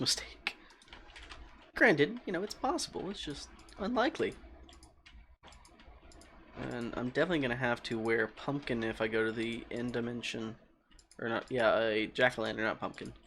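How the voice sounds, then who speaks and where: Male game streamer, calm voice, middle pitch, rambling and chatty with viewers, faint typing behind. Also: slight background noise. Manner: rambling, thinking aloud and answering chat. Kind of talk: casual livestream chat while playing.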